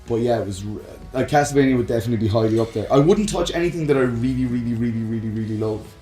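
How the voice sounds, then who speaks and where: A man's voice: medium pitch, rapid-fire, mellow. A man co-hosting a casual, opinionated chat about games and films in an informal way. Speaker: podcast co-host. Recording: roomy echo, music underneath.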